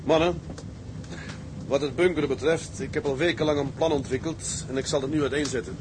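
An actor playing a level-headed, decisive tugboat captain who gives orders in a Dutch radio drama. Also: male voice, on the high side, steady tone, a little fast, lightly acted. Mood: briefing, authoritative. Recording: clear engine and sea ambience noise.

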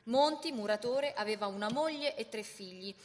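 A woman's voice: fairly high pitch, normal speed, slight background noise. A woman reading scripted historical narration for radio theatre in a dramatic storytelling style.

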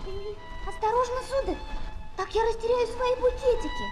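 Tiny, very high-voiced flower girl. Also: steady tone, normal speed, over music. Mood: pleading. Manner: meek, plaintive, polite. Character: timid, shivering little flower-seller girl, poor and polite. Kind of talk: Soviet children's radio play acting.

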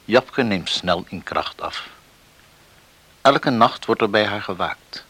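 A solemn male storyteller narrates a radio drama. His low voice is forceful and moves expressively, at a very measured pace. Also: heavily acted.

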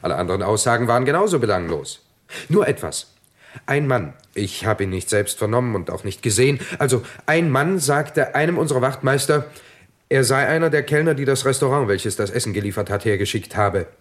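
A man's voice: mid-range voice, expressive, brisk, natural voice. A man playing a dutiful, slightly plodding police detective who reports pedantically to his superior in a German radio crime drama. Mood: earnest, reporting.

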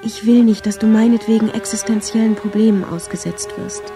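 A woman playing a tender, lovestruck young violinist of the 1910s, reading her love letters in a measured, yearning radio drama style. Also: a little high, fairly even intonation, lightly acted. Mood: wistful, devoted. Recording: clear violin music under the voice. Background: violin music.

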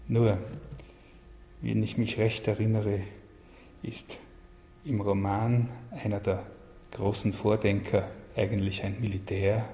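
Guy answering interview questions, low mellow voice, halting and deliberate, echoey. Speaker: interviewed official and literary advisor. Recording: echoey hall, faint background noise.

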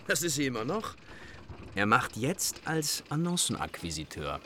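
An actor playing an easygoing gentleman who shares dry, knowing gossip in a radio drama. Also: medium pitch, very animated, moderate pace, a little hiss or hum. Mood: amused, knowing.

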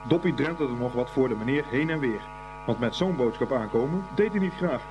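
A man's voice: mid-range voice, lively intonation, brisk. A man narrating a scripted story as the narrator of a radio play. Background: music.

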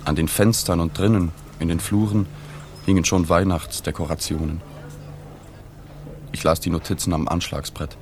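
A man's voice: low voice, fairly even intonation, normal speed, pauses often, darkish tone, slight background noise. An actor playing a reserved male journalist in a radio play, narrating and asking questions in a sober, understated way. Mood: sober, observing. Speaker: narrator and reporter figure.

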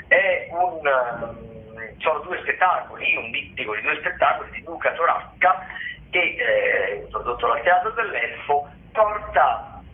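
A man presents arts listings as a radio host in a semi-improvised, hesitant way, searching for words mid-phrase. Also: a little high. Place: radio broadcast. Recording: tinny, narrow phone-like radio sound.

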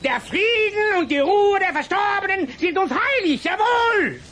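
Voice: very high voice, expressive, unhurried, fairly bright tone, a little hiss or hum, heavily acted. An actor playing an angry, pompous cemetery keeper in a children's radio play, indignant and scolding.

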